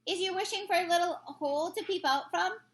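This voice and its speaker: Woman voicing a gentle, bright friendly giant. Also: very high voice, a little fast, voice pushed up. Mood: reassuring. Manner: mangled grammar, quaint made-up words. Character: big friendly giant, gentle, nervous, folksy male.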